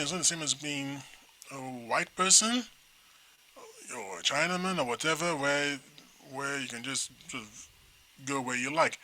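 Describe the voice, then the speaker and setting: Bright-voiced Nigerian-born man, rambling chat. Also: a little high, poor audio. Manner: rambling, animated storyteller with restarts. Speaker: talk show co-host. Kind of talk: casual chat.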